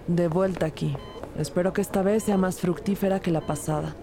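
A woman's voice: lowish voice, steady tone, brisk, natural voice. Woman acting as composed female detective over music. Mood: composed narrating. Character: female police detective narrating her case, composed and empathetic. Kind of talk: Spanish-language audio drama, narration and dialogue. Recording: loud music bed under the voice.

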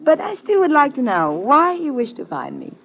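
An actress playing a sly, flirtatious woman smuggler with an Eastern European accent in a 1950s radio adventure, teasing in measured, formal English. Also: high-pitched, wildly swooping pitch, exaggerated voice. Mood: coy, curious. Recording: dull, noisy vintage radio recording.

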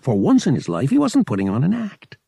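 A man's voice: medium pitch, huge pitch swings, brisk, creaky, mellow, slightly rough, dry recording, clean studio sound. A man narrating fiction as a scripted, dramatic audiobook reading.

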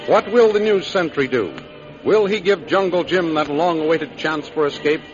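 A man's voice: fairly high pitch, normal speed. A man announcing and narrating in a dramatic 1930s radio style, emphatic and showmanlike. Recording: muffled 1930s radio recording. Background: music.

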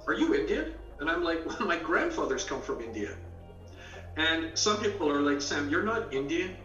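A man's voice: a little high, bright, forceful tone, echoey room, far from the mic, lo-fi sound. A man born in England and raised in Canada, an author talking as a guest, explaining ideas through long metaphors and acting out little quoted conversations. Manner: explains with extended metaphors, acts out quoted dialogue. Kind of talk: storytelling interview answers.

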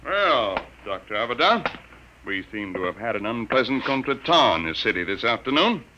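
An actor playing a hesitant, ingratiating army colonel, in a 1950s radio drama. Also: male voice, mid-range voice, sing-song, moderate pace, background noise, close to an everyday voice. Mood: placating. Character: nervous, placating army colonel.

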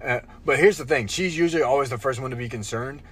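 A man's voice: medium pitch, fast talker, fairly bright tone, husky. Rambling young man telling a story. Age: young adult. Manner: rambling storyteller, many restarts and "bro". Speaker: podcast co-host. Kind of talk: casual chat.

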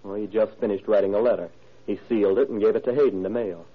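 An actor playing a murdered man's young son, halting, plainspoken and slightly uneasy, in a 1940s radio drama. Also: on the low side, fairly even intonation, breathy edge. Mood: hesitant. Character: hesitant, cooperative young son of a murdered man. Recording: narrow, muffled old radio recording.

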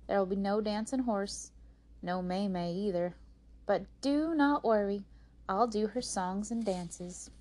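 A woman reader puts on a prim storybook sister who performs on stage and squabbles readily. She speaks briskly and emphatically, with a huffy edge. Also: mid-range voice, a little mellow, natural voice.

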